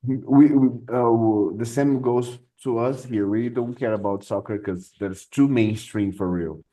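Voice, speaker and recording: A man's voice: medium pitch, unhurried, dark tone, low-quality recording. A man with a Brazilian accent hosting a casual podcast chat, playful, laughing often and stumbling over words. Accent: Brazilian Portuguese. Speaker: podcast host and English teacher.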